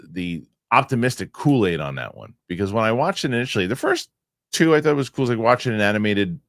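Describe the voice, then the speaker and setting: A man hosting a casual podcast chat, animated and rambling, often restarting his sentences. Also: lowish voice, no room sound, studio quality. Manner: animated, rambling, self-correcting with repeated starts. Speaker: show host.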